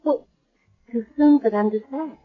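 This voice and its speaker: A hesitant, troubled young woman in a 1970s radio drama. Her voice sits a little high with a breathy edge, on a dull vintage recording. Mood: hesitant, guarded. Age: young adult. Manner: hesitant, halting. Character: young amnesiac woman, afraid, unsure and miserable.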